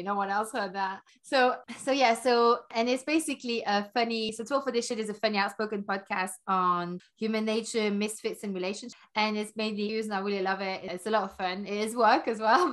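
A woman in her forties, raised in Belgium, talks as a podcast guest. She rambles warmly through her answers, with many fillers, restarts and bursts of laughter. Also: mid-range voice. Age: 40s. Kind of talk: casual interview chat.

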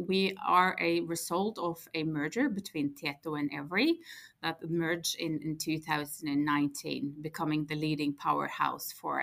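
Norwegian woman explaining, lowish voice. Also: creaky, a bit bright. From Norway. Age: 40s. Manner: explanatory, repeats words while thinking. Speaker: guest, head of core banking. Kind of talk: interview answers.

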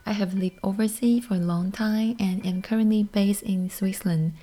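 A woman from Taiwan whose first language is Mandarin, speaking English with a Taiwanese accent as a podcast host, in a soft, airy, half-whispered scripted monologue. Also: mid-range voice, fairly even intonation, moderate pace, husky.

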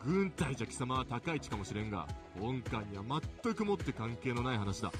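Period radio drama: stern, formal officer played by a man, mid-pitched, distant, music behind. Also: very animated, brisk, natural voice. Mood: defiant. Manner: stiff, formal. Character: stern, defiant official in uniform.

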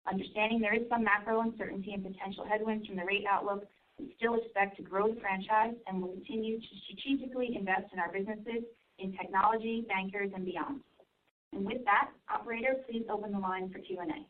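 Woman exec on an earnings call, formal and measured, sounds far off on a phone line. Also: mid-range voice, fairly even intonation. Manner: formal, measured corporate delivery. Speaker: company finance executive presenting earnings. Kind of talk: earnings call presentation and answers. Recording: distant, band-limited conference call line.

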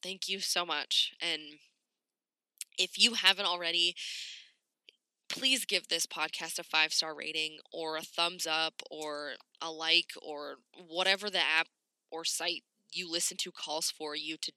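A woman hosting a podcast in casual, candid, slangy talk, slightly raspy and congested from a cold. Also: deep voice, bright, forceful tone, dry recording. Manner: candid, informal, sweary, slangy. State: congested, getting over a cold. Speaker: podcast host.

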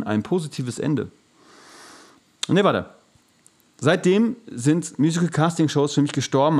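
A man's voice: mid-range voice, darkish tone. A man co-hosting a podcast, telling stories in a casual, colloquial and blunt way with some swearing. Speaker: podcast co-host; musical singer and actor. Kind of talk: casual storytelling chat.

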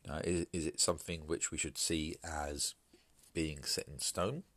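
A man hosting his own podcast, talking through an opinion piece in a measured, hesitant way with frequent fillers and restarts, sounding congested and bunged up from hay fever. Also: extremely low pitch, fairly even intonation, a bit bright, dry recording. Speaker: podcast host. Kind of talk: solo podcast commentary.

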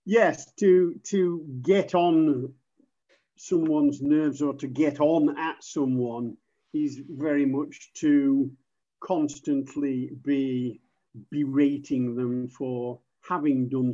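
A British-accented man speaks slowly and measuredly, with a dark, mellow tone. His voice comes through slightly muffled and lo-fi as he offers careful example sentences. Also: medium pitch, steady tone. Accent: British English. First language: English. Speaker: podcast guest, native speaker. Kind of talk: giving example sentences in a lesson.

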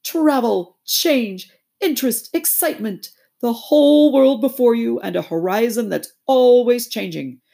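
A woman voicing a boastful, excitable male toad who gushes and wheedles in a storybook reading. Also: a little high, unhurried, vocal fry, hardly any pauses, voice pushed up, exaggerated voice. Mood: rhapsodic. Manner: gushing, exclamatory, wheedling.

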